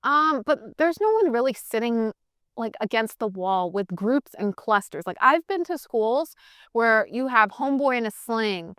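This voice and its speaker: Bright-voiced woman from Hawaii, chatty. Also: a little high, a little slow, no room sound, studio quality. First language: Hawaiian Pidgin. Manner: chatty, opinionated, animated. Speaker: podcast co-host. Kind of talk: casual chat.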